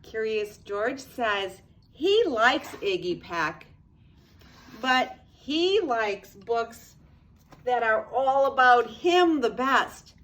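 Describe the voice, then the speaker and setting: An older woman, a grandmother, reads a picture book aloud to young children in an expressive, unhurried way with casual asides. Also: fairly high pitch. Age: 60s or older.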